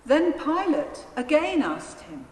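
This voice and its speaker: A woman narrating a dramatised scripture reading in a solemn, deliberate manner. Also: high voice, big pitch swings. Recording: echoey church, long reverb, faint noise.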